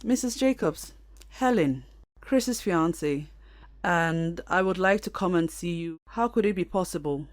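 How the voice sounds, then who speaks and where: A woman playing a composed, supportive businesswoman in an audio drama, measured, polite and matter-of-fact. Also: on the low side, animated.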